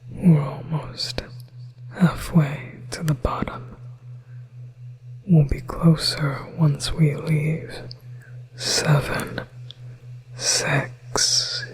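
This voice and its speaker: Slow whispering demon hypnotist, acted by a performer. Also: medium pitch, low-quality recording. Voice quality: breathy whisper. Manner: slow, soothing, hypnotic, coaxing. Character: possessive, coaxing demon hypnotist luring a listener into submission. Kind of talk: whispered ASMR hypnosis roleplay.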